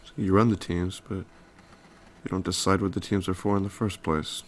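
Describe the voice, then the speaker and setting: An actor playing a hesitant, tender younger man in a secret affair, with an American accent and halting, intimate delivery in an audio drama. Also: sits low, fairly even intonation, a little hiss or hum, natural voice. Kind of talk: naturalistic noir audio drama dialogue.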